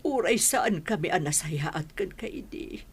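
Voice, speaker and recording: A woman acting a weak, ailing, remorseful old mother in an Ilocano radio drama, husky and feeble, with an Ilocano accent. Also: on the low side, animated, unhurried, heavily acted. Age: older.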